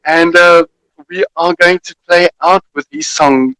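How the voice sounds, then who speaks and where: A South African man with an Afrikaans accent hosts a radio interview, pausing often with fillers. His voice sits fairly high, and the sound is dry, like a video call. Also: steady tone, clear, resonant tone, dry recording, poor audio. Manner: warm, hesitant, with many fillers. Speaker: radio host.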